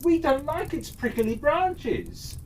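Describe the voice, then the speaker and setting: Storyteller putting on squeaky, fussy little birds and insects. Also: wildly swooping pitch, slow, a little mellow, a little hiss or hum, exaggerated voice. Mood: complaining.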